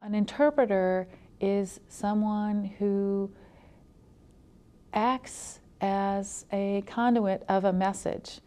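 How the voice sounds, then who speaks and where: Slow, mellow-voiced woman explaining. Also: mid-range voice. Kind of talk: explanatory talk.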